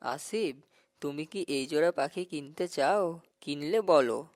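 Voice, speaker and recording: A woman's voice: low voice, a little slow, darkish tone, no echo, clean studio sound. A woman playing a greedy, mocking boy in a cartoon, scornful and boastful. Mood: dismissive, stubborn. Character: greedy, mocking boy who traps birds to sell. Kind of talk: Bengali moral cartoon dialogue.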